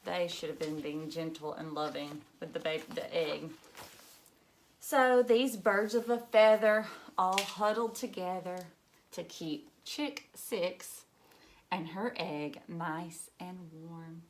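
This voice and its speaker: A woman reading a children's picture book aloud in an expressive, emphatic way, with asides and questions to young listeners. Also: on the low side, takes it slowly, a little hiss or hum.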